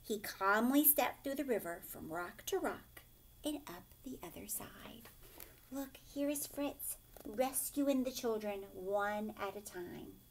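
A woman in her thirties reading a children's picture book aloud expressively, pausing to explain and ask questions like a teacher. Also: mid-range voice, expressive, slight background noise. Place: furnished living room, indoors.

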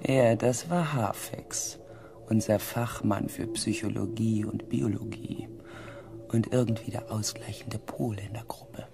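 A man narrating a science fiction radio drama as a reflective crew member, in a hushed, deliberate way. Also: fairly low pitch, vocal fry, in a whisper. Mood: explanatory. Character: reflective crew member recounting a space expedition. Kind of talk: scripted first-person radio drama narration.

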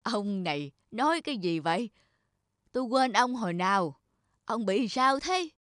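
A woman's voice: mid-range voice, big pitch swings, a little slow, a bit bright, no echo, studio quality. A woman voicing an affectionate, forgetful elderly mother in a cartoon, plain-spoken and mildly fussing. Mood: puzzled. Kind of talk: cartoon voice acting.

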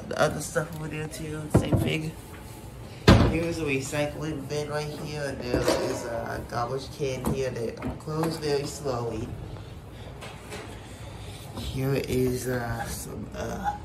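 Gravelly man rambling on a phone walkthrough. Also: medium pitch. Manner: rambling, halting, many fillers and restarts. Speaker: vlogger giving a house tour. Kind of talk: casual handheld walkthrough narration. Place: indoors in a rental house kitchen and living room. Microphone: phone camera held while walking. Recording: loud handling noise and clicks.

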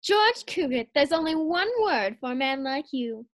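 Casting: an actress as a coy, scatterbrained young wife for a 1940s radio sitcom, high voice. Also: female voice, expressive, normal speed. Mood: teasing. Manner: teasing, coy.

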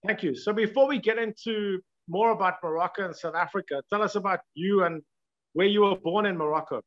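Brisk high-voiced male interview host. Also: highs cut off. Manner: polite, brisk questioning. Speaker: talk show host. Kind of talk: interview hosting. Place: virtual background. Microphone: video call webcam.

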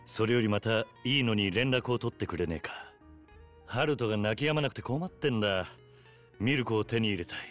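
An actor playing a stern, tough, tired middle-aged man with a gruff, terse manner in a video game. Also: fairly low pitch, a little fast, a bit bright, soft background music, natural voice. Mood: troubled, restrained. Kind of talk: dramatic video game voice acting.

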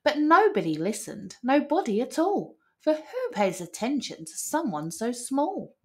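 A woman reading a children's picture book aloud as an expressive storyteller. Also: high-pitched, unhurried.

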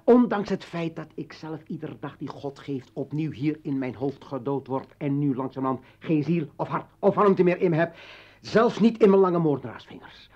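High-pitched embittered revolutionary leader. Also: male voice, a little mellow, husky. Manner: rhetorical, impassioned, swinging between brooding and outburst. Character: embittered, rambling revolutionary leader, a desperate father. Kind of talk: dramatic radio play acting. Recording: dull lo-fi old radio recording.

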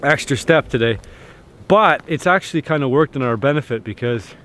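A man's voice: mid-range voice, lively intonation, fairly quick, a bit bright, slight background noise. A man, chatting casually to a handheld camera outdoors in the woods, easygoing and full of fillers and restarts. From Canada. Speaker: homesteading vlogger. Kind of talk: casual outdoor vlog talk. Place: outdoors in snowy woods and fields. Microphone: handheld camera at arm's length.

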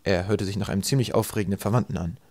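A man narrating a comic children's story from a script, with dry, deadpan storytelling. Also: on the low side, rapid-fire, no echo. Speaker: audiobook narrator.

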